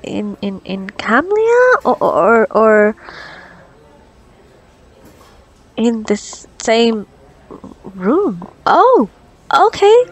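A woman's voice: a little high, husky, heavily acted. A woman playing an anxious, socially awkward young woman with a crush in an ASMR roleplay, stammering and rambling nervously. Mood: nervous, confused. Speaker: audio roleplay performer. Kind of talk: ASMR girlfriend roleplay acting.

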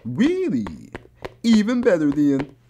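A woman's voice: low voice, huge pitch swings, slow, dark tone, slight background noise, exaggerated voice. A woman playing a brash, scheming turncoat musician villain with a sly, mocking manner in a fan-made manga drama.

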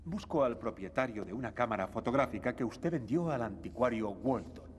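A man's voice: mid-range voice, lively intonation, fairly quick, a little hiss or hum, lightly acted. An actor playing a composed, refined detective who speaks precisely and corrects his assistant's manners, in a cartoon dub. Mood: calm. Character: calm refined male detective.

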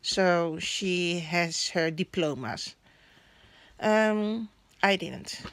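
A woman vlogging casually about a newspaper, speaking English as a Hakka and Cantonese native, often pausing to search for words. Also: lowish voice, lively intonation, no echo. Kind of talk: casual chat. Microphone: phone camera held over a newspaper.

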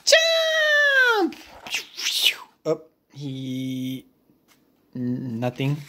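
Slow, playful picture book reader. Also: medium pitch, big pitch swings, background noise. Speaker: English teacher reading aloud. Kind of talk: playful picture book read-aloud with asides. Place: indoors at a wooden table.

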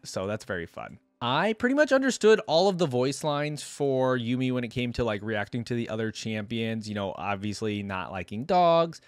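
A man's voice: fairly low pitch, no room sound. A young adult man giving casual, chatty, enthusiastic commentary as a reaction host, rambling a little into a desk microphone. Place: small indoor room at a gaming desk. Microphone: desk microphone up close.

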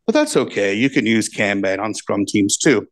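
A man from the giving a conference talk, speaking plainly and reading his points out slowly on a handheld mic. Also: lowish voice, sing-song. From United States. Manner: plain, measured, reads points out slowly for translation. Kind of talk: slow, deliberate conference talk. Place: conference room with projector. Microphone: handheld microphone.

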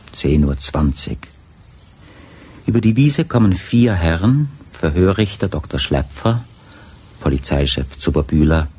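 A man narrating a crime radio play in a deliberate, literary storytelling manner. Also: rumbling low, warm tone, breathy edge, heavily acted. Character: measured, observant male narrator of a crime story.

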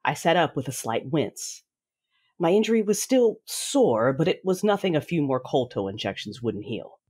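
A woman playing a fierce, grieving warrior mother who narrates her own story in a measured, dramatic audio drama style. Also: low voice, big pitch swings, a little mellow, breathy edge, exaggerated voice. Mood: solemn, controlled. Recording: voice over a music bed.

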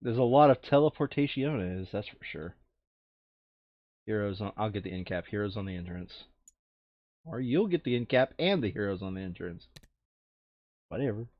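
A man casually playing through a board game, reading card text aloud and adding expressive, muttered asides. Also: on the low side, moderate pace, pauses often, no room sound, dull audio.

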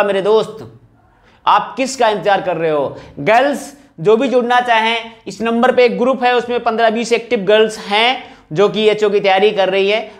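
A man speaking insistently in an informal update to exam candidates, like a coaching teacher appealing to students. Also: high voice. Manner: insistent, repeating rhetorical questions and appeals.

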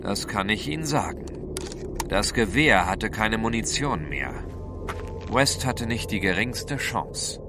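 An actor playing a resolute, blunt gentleman adventurer in an audio drama, grave and urgent in delivery. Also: lowish voice, animated, slow pace. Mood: grim. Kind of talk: German radio play acting. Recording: prominent music behind the dialogue.

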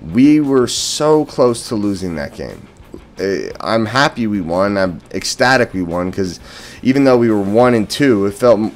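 An American man giving casual post-game football commentary as a fan and host, opinionated and emphatic on key words. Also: lowish voice. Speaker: sports podcast host and fan. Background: background music.

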